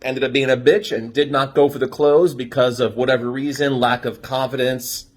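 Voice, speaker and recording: A young American man casually telling a story in an animated way, swearing freely and acting out dialogue. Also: mid-range voice, normal speed. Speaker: storyteller giving dating advice.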